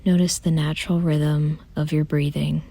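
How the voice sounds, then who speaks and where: Woman, guided meditation, soft near-whisper, very even lowish pitch, gentle unhurried instructions, dry close sound. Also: gravelly, no room sound. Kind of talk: soothing guided meditation, scripted.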